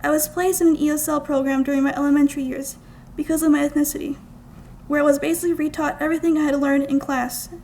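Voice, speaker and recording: High, creaky-voiced young woman reading aloud. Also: little pitch movement, fast, mellow, slight background noise. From United States, small-town Minnesota. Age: young adult. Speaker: student writer reading own work. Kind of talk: scripted literary reading aloud. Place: college art gallery, indoors.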